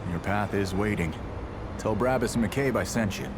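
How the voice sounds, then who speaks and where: An actor playing an eccentric, cryptic seer in a video game, rambling and correcting himself in halting phrases. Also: male voice, fairly low pitch, background noise, lightly acted. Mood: assured, odd. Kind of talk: video game character dialogue.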